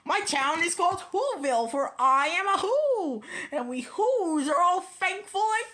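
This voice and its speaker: A woman's voice: extremely high pitch, very animated, measured pace, a bit bright, a little hiss or hum, voice pushed up, exaggerated voice. A woman voicing a tiny male mayor of a town on a speck, polite and thankful in a piping voice. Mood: grateful.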